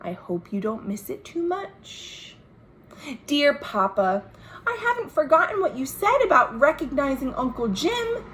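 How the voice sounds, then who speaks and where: A shy but eager young girl read by a woman shares affectionate storybook letters from the 1930s. The voice is fairly high and very slow, lingering on phrases with big rises and falls, over faint noise. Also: very animated, a bit bright, exaggerated voice. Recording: home video with faint background noise.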